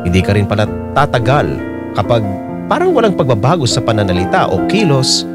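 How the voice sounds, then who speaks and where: A troubled Filipino husband confides his story as radio drama narration. His mid-pitched voice moves expressively up and down, earnest throughout, over clear piano music. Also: huge pitch swings, fairly quick. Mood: regretful. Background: piano and keyboard music.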